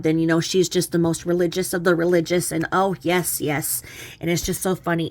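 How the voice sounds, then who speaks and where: A woman's voice: fairly low pitch, no echo. A woman giving casual, mocking reaction commentary in a snarky, rambling, chuckling way. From lives in a small religious community. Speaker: commentary vlogger.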